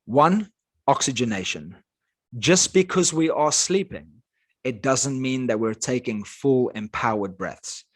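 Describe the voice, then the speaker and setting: A South African man whose first language is Afrikaans talks as a life coach guest on a podcast. His middle-pitched voice swings widely, rising and falling with each point. He sounds energetic and emphatic. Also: measured pace. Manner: energetic, emphatic motivational talk. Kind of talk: podcast interview.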